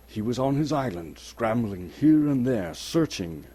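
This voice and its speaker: A man's voice: medium pitch, sing-song, unhurried, mellow, slightly rough. A man from Canada gives a dramatic narration of a storybook, theatrical and suspenseful, lingering on key words. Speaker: actor reading as narrator.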